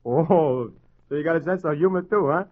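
A man's voice: on the high side, moderate pace, natural voice. A man playing a cocky, wisecracking small-time gangster and ladies' man in a 1940s radio crime drama, with slangy tough-guy talk. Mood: casual, lively. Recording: muffled old radio broadcast.

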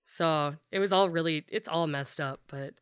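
A woman in her thirties with a deep voice, talking frankly and bluntly as a podcast guest, swearing freely and using lots of fillers. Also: normal speed, clear, resonant tone, no echo, studio quality. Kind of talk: casual candid conversation.

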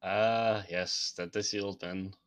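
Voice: sits low, steady tone, slow. A player voicing a polite, admiring male Turkish professor with a formal manner.

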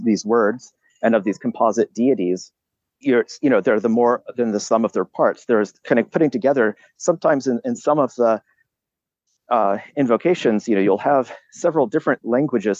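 A man speaking as a podcast guest, explaining ideas in a halting, reflective way with many restarts and fillers. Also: fairly low pitch, a little mellow, no room sound, lo-fi sound.

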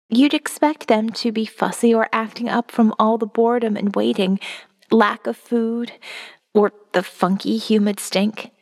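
A woman's voice: fairly high pitch, long reverb tail. A woman voicing a weary, wary survivor reading out her diary in a wry, observant first-person audio novel narration. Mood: grim, observant.

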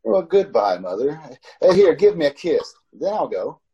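A man's voice: on the high side, big pitch swings, moderate pace, lo-fi sound, noisy background. A man playing an anxious driver in his thirties in an old-time radio drama, with dramatic delivery. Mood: cheerful, reassuring. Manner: urgent, dramatic first-person narration. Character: haunted, anxious thirty-six-year-old male driver telling his story.

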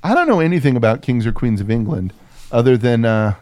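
Male co-host, lively intonation, foul-mouthed and irreverent comedic chat, dry recording. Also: mid-range voice, fairly quick, no room sound. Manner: profane, irreverent riffing. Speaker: podcast co-host.

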